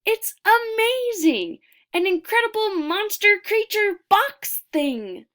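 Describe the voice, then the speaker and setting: A woman reading a children's picture book aloud expressively, deliberate in her delivery, with dramatic pauses and emphasis. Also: very high voice, sing-song, fairly bright tone.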